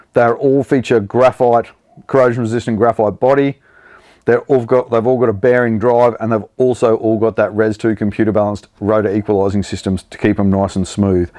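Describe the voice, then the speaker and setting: An Australian man in his forties talks through fishing reels on a studio set, his delivery brisk and upbeat like a friendly salesman. His voice sits slightly low, and the recording is dry with no room echo. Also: darkish tone. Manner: upbeat, enthusiastic salesman patter. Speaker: fishing tackle presenter. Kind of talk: product demonstration. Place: indoor studio set with product table.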